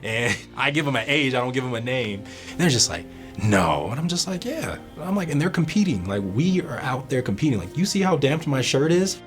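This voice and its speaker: A man in his twenties tells a casual anecdote, acting out back-and-forth exchanges in an animated way. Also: medium pitch, fairly quick, faint music behind. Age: 20s. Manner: animated, acts out quoted exchanges. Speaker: interviewee, youngest player.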